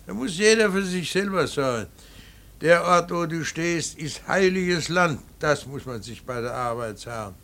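An actor playing a stern, devout older painter and father in a radio play, halting and brooding, then preaching with emphasis. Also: fairly high pitch, animated, sharp tone, heavily acted. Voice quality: gravelly, husky. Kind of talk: German radio play acting.

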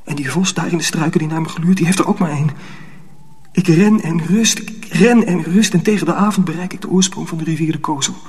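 A man's voice: a little high, a little fast, a little mellow, slight background noise, natural voice. An actor playing a weary, bewildered young apprentice who tells his own story in intense, urgent phrases in a radio drama. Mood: desperate, weary. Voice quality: husky, breathy, half-whispered. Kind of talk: first-person radio drama narration.

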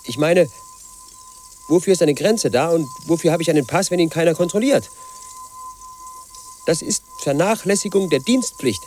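A man's voice: fairly high pitch, animated, normal speed, long pauses, a little mellow, faint music behind, close to an everyday voice. An actor in his late thirties playing a lonely, suspicious house painter, speaking a bitter inner monologue in a radio drama. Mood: indignant. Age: late 30s. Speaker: protagonist narrating his life.

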